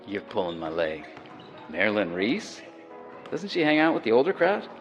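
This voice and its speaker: Very deep-voiced bashful schoolboy athlete. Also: male voice, wildly swooping pitch, normal speed, in a large hall, faint music behind. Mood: incredulous. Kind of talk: true-crime podcast dramatization.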